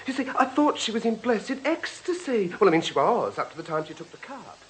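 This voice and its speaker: Affected young acolyte, high-pitched, very animated, catty, gushing, melodramatic radio drama. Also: male voice, a little fast, a little hiss or hum, rough recording. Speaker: actor in a radio detective drama.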